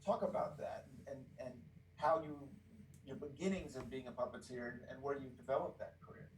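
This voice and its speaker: A man's voice: medium pitch, a little fast. A man hosting a podcast interview in an encouraging, casual way with many fillers. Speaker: podcast host. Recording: roomy distant mic with faint noise.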